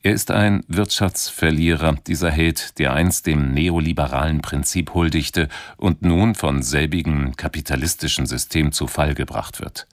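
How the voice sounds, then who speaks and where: A man's voice: very deep voice, fairly even intonation, normal speed, few pauses, no room sound, studio quality. A man giving a scripted literary introduction on radio as a presenter. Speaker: programme presenter.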